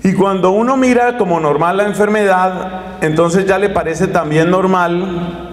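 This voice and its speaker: Middle-aged man, Colombian accent, high voice, animated sermon, handheld mic, hall reverb. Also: measured pace. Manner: animated, gesturing, addressing listeners directly. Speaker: preacher. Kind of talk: lively sermon talk to an audience. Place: conference hall with curtained stage. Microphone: handheld microphone.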